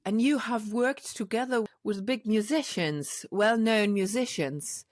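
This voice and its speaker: A woman's voice: a little high, a little slow, dry recording. Interviewer woman with a dry close sound. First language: German. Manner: brief encouraging interjections. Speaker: podcast host. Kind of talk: casual interview.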